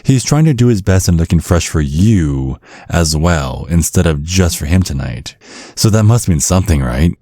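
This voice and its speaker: Male actor as ancient vampire dad, extremely low voice, dry close sound, mock-courteous, insinuating, protective, ASMR roleplay. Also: expressive, normal speed, warm tone, dry recording. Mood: condescending, impatient. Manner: mock-courteous, insinuating, teasing with veiled threats. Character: centuries-old vampire father, menacing, protective, slyly polite.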